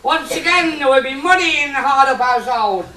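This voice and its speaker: A woman's voice: high voice, lively intonation, a little fast. A woman playing a proud, gushing relative in an amateur pantomime, with emphatic, declamatory stage delivery. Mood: dramatic. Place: theatre or hall stage with audience. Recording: echoey hall, distant mic, faint noise.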